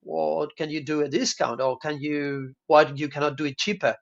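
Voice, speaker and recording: A man from Chile with a Chilean Spanish accent, speaking English as a second language in a halting, friendly casual chat over a video call. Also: a little high, flat delivery, vocal fry. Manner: halting, searching for words, friendly. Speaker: team supporter and maker. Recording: dull lo-fi call audio.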